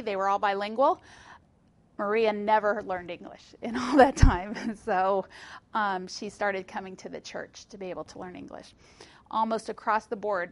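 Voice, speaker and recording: A woman's voice: medium pitch, a bit bright. A woman teacher giving an informal presentation in a conversational, storytelling way.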